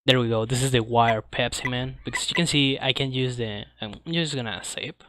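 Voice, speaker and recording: A man who speaks English as a second language, giving casual live speedrun commentary, halting as he searches for words. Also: medium pitch, fairly bright tone, slight background noise. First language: not English. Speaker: speedrunner presenting a game at a streamed marathon.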